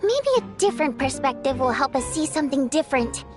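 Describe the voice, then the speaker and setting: High, animated, outspoken young woman. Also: a little fast, over music. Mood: thoughtful. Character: brisk, outspoken young woman working at a theater. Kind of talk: video game voice acting.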